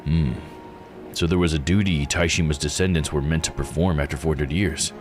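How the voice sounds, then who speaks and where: Man acting investigator, low voice, courteous, probing, visual novel, music underneath. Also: steady tone, a little fast. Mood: courteous, attentive. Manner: courteous, inquisitive. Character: polite, curious investigator probing an old mystery. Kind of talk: reading visual novel dialogue aloud. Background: game music.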